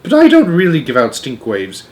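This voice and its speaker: A man voicing a curious, excitable young grandchild in a storybook, full of exclamations and incredulous questions. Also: fairly high pitch, moderate pace, voice pushed up. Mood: eager, curious. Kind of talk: storybook read-aloud character.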